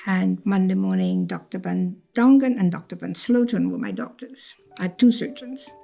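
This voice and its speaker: A woman's voice: medium pitch, steady tone, normal speed, creaky, warm tone, faint music behind. An older woman born in Trinidad, telling her life story in a reflective way, repeating phrases and acting out what others said. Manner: reflective, repeats phrases, acts out quoted speech. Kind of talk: personal storytelling interview.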